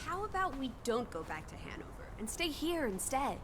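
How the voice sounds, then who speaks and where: A woman's voice: sits high, big pitch swings, a little fast, noisy background. A woman voicing a sociable, playful young travel companion in a video game, with a breezy, wry, mock-dramatic way of talking. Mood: excited, carefree.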